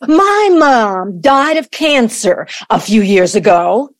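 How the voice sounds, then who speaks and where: A woman's voice: fairly high pitch, very animated, slow. A woman acting in an audio play as a defensive, irritated grown daughter, curt, guarded and sarcastic.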